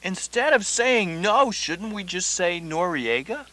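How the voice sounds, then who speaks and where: Bright, precocious schoolboy. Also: medium pitch, expressive, slow pace. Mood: eager, challenging. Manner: pointed, know-it-all questioning. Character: precocious, argumentative schoolboy pupil. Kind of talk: satirical radio play acting.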